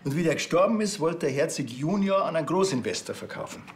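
A man's voice: fairly high pitch, lively intonation, fast talker, a little hiss or hum. Dry, grumpy Bavarian policeman. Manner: dry, sardonic. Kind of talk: naturalistic comedy-crime dialogue.

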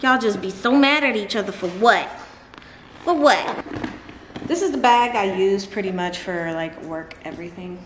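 A woman's voice: mid-range voice, lively intonation, normal speed, fairly bright tone. Chatty woman vlogging in echoey room. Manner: chatty, laughs often, talks to her dogs. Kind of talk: casual vlog chat to camera. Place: large bright living room at home. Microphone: camera on a table close by. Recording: echoey room with clear background noise.

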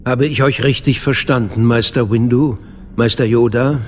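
An actor playing a dignified older chancellor in a radio play, with a formal, measured manner. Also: male voice, mid-range voice, steady tone, lightly acted. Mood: displeased. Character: dignified, smooth-talking older male chancellor. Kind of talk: dramatic radio play acting.

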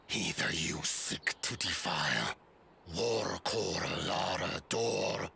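An actor playing a huge, proud, stern giant in a video game, with a growling, halting, imperious delivery. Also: male voice, sits low, bright, forceful tone, slight background noise, full character voice. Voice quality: gravelly, growling. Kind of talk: video game cutscene acting. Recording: music behind the voice.

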